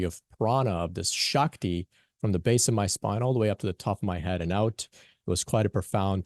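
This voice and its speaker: A young man with a low voice gives an earnest conference talk as a spiritual teacher. He halts often, with fillers and restarts. The sound is dry and close. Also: a little mellow, no echo. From born in Pakistan, raised in Canada. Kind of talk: conference talk, personal story.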